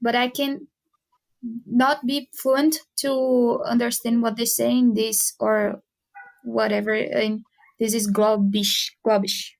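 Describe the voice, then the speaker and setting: A woman from Brazil, a student guest on a podcast, speaking English with a Brazilian accent and pausing to find her words. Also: a little high, little pitch movement, vocal fry, low-quality recording. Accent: Brazilian Portuguese. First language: Portuguese. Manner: searching for words, halting. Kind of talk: casual podcast chat.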